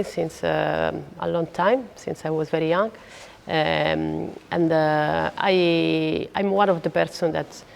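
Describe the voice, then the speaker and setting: A woman's voice: deep voice, vocal fry. A woman human rights activist speaking hesitantly in a documentary interview, with many fillers.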